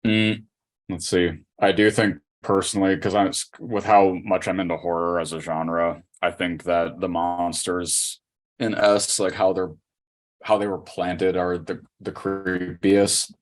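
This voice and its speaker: A man's voice: deep voice, little pitch movement, measured pace. A man co-hosting a casual fan podcast, thinking aloud in a hesitant way. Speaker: podcast co-host.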